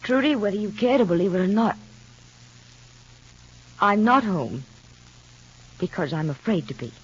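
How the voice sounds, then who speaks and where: An actress in an old radio soap opera playing a frank, insistent, unhappily married woman, speaking earnestly and deliberately. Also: mid-range voice, expressive, slightly rough. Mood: firm, insistent. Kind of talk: 1940s-50s radio soap opera acting. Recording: muffled old radio recording.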